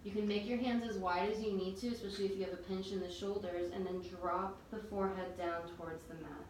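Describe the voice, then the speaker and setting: A woman teaching a yoga class, calmly guiding breath and movement step by step. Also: medium pitch, quick pace. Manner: calm, gentle cueing of breath and movement. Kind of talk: guided yoga instruction. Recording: very echoey room, distant mic, faint noise.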